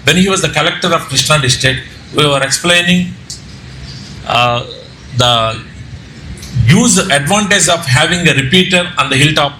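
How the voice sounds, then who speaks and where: A man with an Indian Telugu accent giving an informal slide presentation, speaking haltingly with repeated words and self-corrections. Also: on the high side, bright, forceful tone. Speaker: presenter, amateur radio operator and trainer. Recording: echoey room with background noise.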